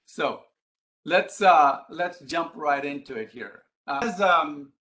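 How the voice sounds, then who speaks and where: A man's voice: a little high, expressive, slow pace, a bit bright. A man from Ghana and the Middle East speaking to an audience in an explanatory, emphatic way, often posing rhetorical questions. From Ghana, Middle East and East Africa. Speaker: public speaker, advocacy group president. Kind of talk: live lecture to an audience.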